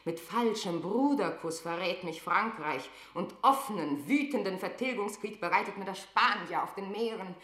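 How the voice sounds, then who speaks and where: A woman's voice: mid-range voice, moderate pace, few pauses, a bit bright, natural voice. An actress playing a proud, tormented Queen of England in a classic radio drama, with grand, declamatory delivery. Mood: proud, embattled. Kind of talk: classic German radio play acting of verse drama. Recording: roomy, reverberant radio drama studio.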